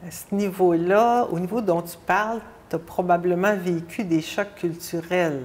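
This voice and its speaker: A woman with a slightly low voice. She speaks as a TV host, putting questions in a conversational interview style. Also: steady tone, unhurried, darkish tone.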